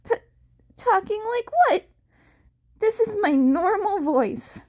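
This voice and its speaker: A flustered teenage boy vigilante acted out by a woman reader speaks in a high, whiny pitch. He stammers and gets defensive, as in a dramatized audio story. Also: animated, slow pace, exaggerated voice. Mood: embarrassed, defensive.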